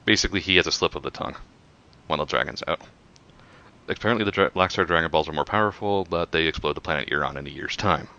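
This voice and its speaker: A man's voice: very deep voice, fast, pushed and bright. A man co-hosting a casual commentary in a matter-of-fact explaining manner, on a slightly dull microphone. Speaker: podcast co-host. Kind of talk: casual chat, retrospective commentary.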